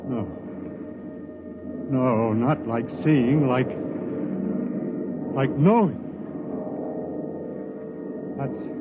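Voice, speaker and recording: An actor playing a distraught, blinded crewman in halting, overwrought 1950s radio drama style. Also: mid-range voice, background noise. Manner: halting, repeating, overwrought delivery. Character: distraught blinded crewman, feverishly sure he can see. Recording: dull vintage radio recording with music.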